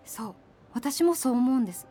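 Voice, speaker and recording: Cool-headed young lady in a radio play, polite but blunt, highish voice over music. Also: lively intonation, brisk, mellow, slightly rough. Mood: polite, settled. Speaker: actress in a radio drama. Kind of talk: naturalistic radio drama dialogue.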